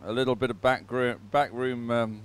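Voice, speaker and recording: A man giving unscripted live sports commentary in a halting, wry, self-deprecating way. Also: mid-range voice, fairly even intonation, clean tone.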